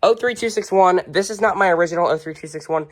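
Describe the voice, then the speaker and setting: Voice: medium pitch, fast. A collector of unclear sex talks through a home collection in a mid-range voice. The delivery is casual and unscripted, with blunt, offhand little verdicts on each item. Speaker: radio collector presenting a collection. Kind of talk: casual unscripted show-and-tell. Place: indoors at home, among shelves and tables of radios.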